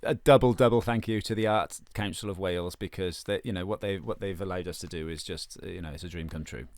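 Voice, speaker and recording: A man's voice: deep voice, fast, darkish tone, no echo. A man, an actor and co-writer, chatting in a casual, jokey way from a home studio. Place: dry home studio, remote link.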